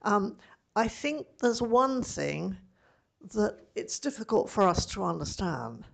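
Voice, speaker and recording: A woman in the audience making a point in a deliberate, measured way. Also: mid-range voice, animated, gravelly.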